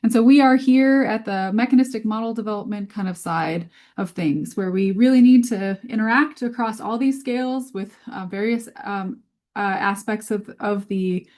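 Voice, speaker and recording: A woman's voice: medium pitch, normal speed, a little mellow. A woman presenting a scientific webinar over a video call in an informal, conversational way, often hesitant as she thinks aloud.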